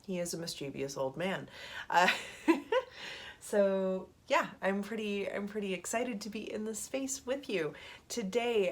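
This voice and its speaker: A woman in her thirties with a head cold, chatting casually to camera from a small apartment studio. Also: fairly low pitch. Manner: chatty, rambling, with many ums and little laughs. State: congested from a cold. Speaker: knitting podcast host.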